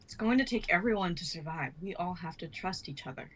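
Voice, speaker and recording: A woman's voice: on the low side, lively intonation, brisk, a bit bright, slight background noise, rough recording. A woman voicing a level-headed, firm young crash survivor in an amateur dramatic table reading.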